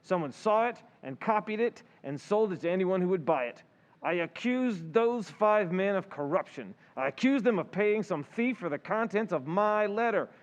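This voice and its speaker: An actor playing an indignant, self-righteous town citizen, blustering and accusatory in an old-time radio drama. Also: male voice, high voice, animated, normal speed. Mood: accusing.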